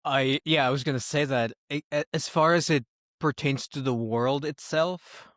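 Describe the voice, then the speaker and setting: A man's voice: medium pitch, normal speed, fairly bright tone, dry recording, studio quality. A man chatting casually as a co-host on a book review show, giving his opinions in an informal way. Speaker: podcast co-host reviewing books. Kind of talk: casual discussion.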